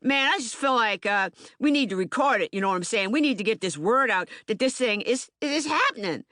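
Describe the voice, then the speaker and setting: A woman's voice: a little high, expressive, fairly quick, sharp tone, dry recording, clean studio sound. A woman performing a theater monologue as an agitated, streetwise young man, speaking emphatically and colloquially with stammered repetitions. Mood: indignant, insistent. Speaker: actor in documentary theater. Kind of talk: dramatic monologue, audiobook theater.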